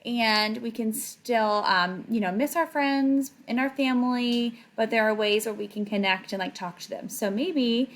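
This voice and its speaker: Woman, high voice, children's read-aloud, chatty casual asides, checking questions. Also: unhurried, few pauses. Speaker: teacher reading a picture book aloud to children.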